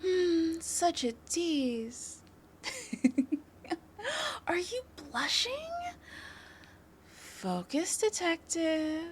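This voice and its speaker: Slow, teasing, flirty female crime suspect acted by a woman. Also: very high-pitched, big pitch swings, a little hiss or hum, exaggerated voice. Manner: teasing, confident, coy, drawn-out delivery. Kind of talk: flirtatious audio roleplay acting.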